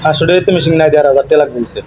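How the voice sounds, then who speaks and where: A man's voice: on the high side, very fast, heavy background noise. A man on a phone video call, giving short, grumbling replies in casual chat.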